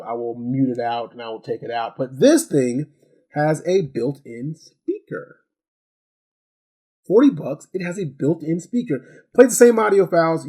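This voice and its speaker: A man's voice: medium pitch, dark tone, dry recording. A man giving a casual, conversational hands-on product review, often repeating phrases for emphasis.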